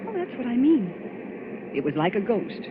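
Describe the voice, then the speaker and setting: High-voiced frightened young woman, old radio. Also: sing-song, moderate pace. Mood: uneasy, eerie. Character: frightened, highly strung young woman passenger. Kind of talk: 1940s radio acting. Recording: muffled, noisy old radio broadcast.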